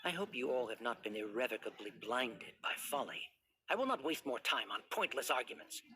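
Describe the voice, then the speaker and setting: A man's voice: mid-range voice, big pitch swings, brisk, sharp tone. A man playing a cold, pompous middle-aged scholar official in a video game, curt and dismissive.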